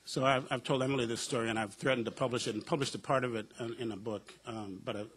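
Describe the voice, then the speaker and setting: A man's voice: medium pitch, fairly even intonation, quick pace, fairly bright tone. A middle-aged man from the United States, a professor on a panel, telling reflective personal stories with a wry humor and frequent uh and um fillers. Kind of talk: personal storytelling at a panel discussion.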